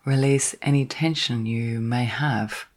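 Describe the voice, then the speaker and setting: A woman leading a guided sleep meditation in a soft, breathy, partly whispered voice, gently soothing, with long pauses between short phrases. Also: very deep voice, fairly even intonation.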